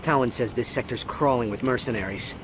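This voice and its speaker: A man's voice: medium pitch, fairly quick, noisy background, lightly acted. An actor voicing a sarcastic young male alien hero, dry and exasperated.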